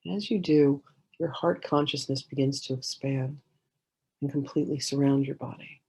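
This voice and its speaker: A woman leading a guided meditation in a gentle, soothing way, giving slow instructions with many pauses. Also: very deep voice, steady tone, breathy edge.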